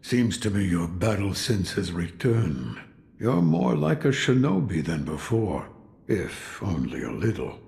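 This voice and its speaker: An actor playing a weary, brooding old sculptor of Buddhas in a video game, speaking in a deliberate, cryptic way. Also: male voice, deep voice, big pitch swings, creaky, a little mellow, a little hiss or hum, heavily acted. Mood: grave, brooding. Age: older. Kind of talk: scripted video game dialogue.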